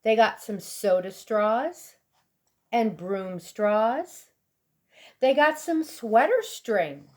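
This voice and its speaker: High-voiced woman reading slowly to children. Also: expressive, a little mellow. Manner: deliberate, dramatic emphasis for young listeners. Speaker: storybook reader. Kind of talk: expressive children's read-aloud narration.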